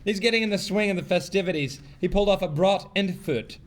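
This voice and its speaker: Male actor as self-important eccentric sitcom man, high pitch, very animated, emphatic, literal, noisy live recording. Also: quick pace, close to an everyday voice. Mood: excited, pleased. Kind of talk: sitcom table read.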